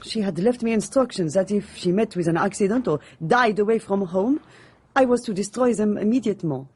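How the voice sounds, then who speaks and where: An actress in a radio drama playing a guarded, defensive maid, formal in speech. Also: female voice, medium pitch, a little fast, darkish tone, slightly rough, natural voice. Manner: formal, guarded, curt denials. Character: guarded, defensive French maid loyal to her dead mistress.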